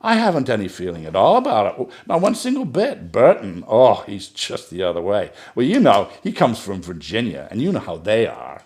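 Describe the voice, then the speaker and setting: A man's voice: fairly low pitch, wildly swooping pitch, moderate pace, hardly any pauses, darkish tone. A man reading a short story aloud, voicing a chatty, gushing society woman who talks in a breathless stream and keeps praising herself.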